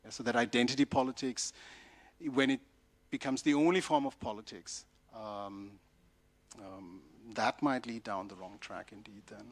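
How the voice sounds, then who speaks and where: A man speaking unscripted in an academic discussion in a conference hall, halting as he thinks aloud. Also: mid-range voice, fairly even intonation.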